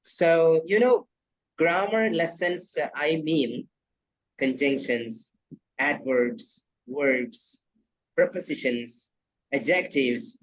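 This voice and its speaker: A man's voice: a little high, takes it slowly, poor audio. A man from Kerala with a Malayali accent, whose first language is Malayalam, talking as an English trainer in an online video class, earnest and insistent, repeating his points. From Kerala, South India. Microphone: video call.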